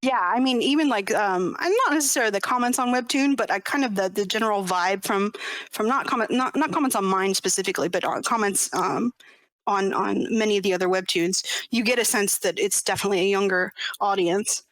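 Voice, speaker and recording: Woman, thirties, interview guest; halting, giggly delivery, dry close sound. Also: medium pitch, fairly bright tone, dry recording. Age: 30s. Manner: hesitant, many ums and restarts, laughs often. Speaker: interview guest, webcomic creator. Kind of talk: casual interview chat.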